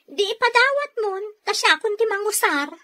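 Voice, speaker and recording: Bright, sharp, discontented housewife. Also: female voice, very high voice, expressive, moderate pace, a little hiss or hum, heavily acted. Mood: demanding. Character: complaining, hard-to-please housewife and elder sister. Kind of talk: Ilocano radio comedy drama acting.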